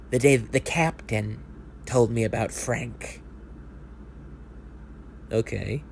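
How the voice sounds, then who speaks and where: A man's voice: medium pitch, slight background noise. A man narrating an audio play as a conversational, scripted dramatic monologue.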